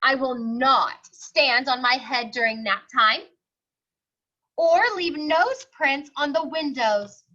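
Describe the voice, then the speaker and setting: Woman voicing an eager, high-pitched little pig schoolboy. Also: takes it slowly, bright, forceful tone, muffled recording, exaggerated voice. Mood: earnest promising. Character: Rufus, eager earnest young pig schoolboy. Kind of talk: children's storybook read-aloud.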